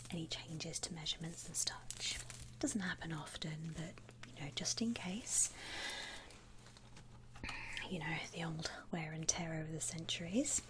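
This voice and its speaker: Slow, whispering woman, soft ASMR roleplay. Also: sits low, rough recording. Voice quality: breathy whisper. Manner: soothing, polite, unhurried, reassuring. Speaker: ASMR roleplay performer as a coffin shop assistant.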